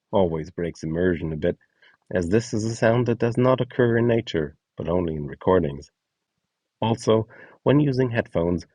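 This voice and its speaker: A man giving a scripted explainer narration as a host, instructing clearly step by step. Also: low voice, mellow, no echo, distant mic. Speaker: host and instructor of a voice donation project.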